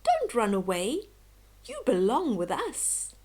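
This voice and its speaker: A woman's voice: fairly high pitch, wildly swooping pitch, takes it slowly, darkish tone, exaggerated voice. A woman voicing graceful, friendly storybook swans with a welcoming, calling manner.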